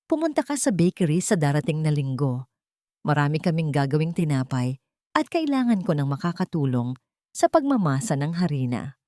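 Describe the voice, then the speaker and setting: A Filipino woman narrator plays a composed wife in an audio drama story, gentle and quietly forgiving. The voice is mellow and on the low side, recorded dry. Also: normal speed, no room sound. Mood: calm, forgiving. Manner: calm, gentle.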